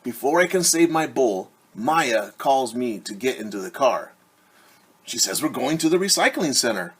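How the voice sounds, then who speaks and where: A man's voice: mid-range voice, big pitch swings, moderate pace, a bit bright, breathy edge. A middle-aged man, a teacher, reading a picture book aloud to children and explaining things simply. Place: small room at home.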